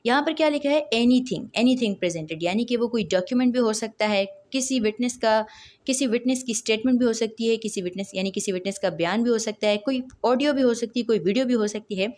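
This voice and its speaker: A woman with a Pakistani accent speaks in a fairly high voice as a lecturer. She explains in a didactic way, posing questions and answering them herself, and goes back over key points. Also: darkish tone. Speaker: law lecturer. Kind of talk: explanatory lecture mixing Urdu and English.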